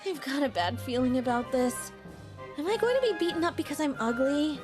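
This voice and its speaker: Woman voicing an anxious, high-pitched anime schoolgirl. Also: expressive, brisk, over music. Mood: dreading. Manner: dramatic, self-pitying. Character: shy, self-deprecating teenage high school girl, panicky narrator. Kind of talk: anime-style story narration.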